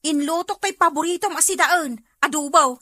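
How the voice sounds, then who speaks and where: A woman playing a cheerful, teasing young farm woman in an expressive audio drama performance. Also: sits high, brisk, no echo, studio quality. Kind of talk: amateur Filipino audio drama acting.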